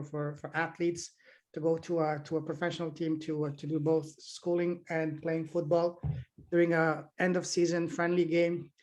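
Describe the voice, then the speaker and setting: A middle-aged man from Afghanistan answering interview questions about his childhood, with many fillers and restarts. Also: a little high. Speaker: podcast host being interviewed.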